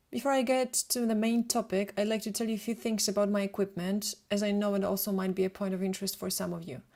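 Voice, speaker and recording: Woman, Polish accent, 30s, webinar presenter, steady read-aloud delivery, mid-pitched voice. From Poland. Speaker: photographer hosting a webinar.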